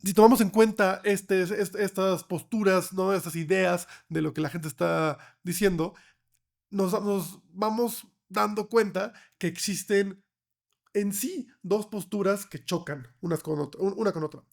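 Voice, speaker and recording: Mexican guy on a podcast, kinda high voice with lots of fry, bit hoarse, explains stuff chattily. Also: measured pace. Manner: checks in with frequent tag questions. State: slightly hoarse from getting over a cold. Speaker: podcast guest, film researcher. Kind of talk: conversational explanation.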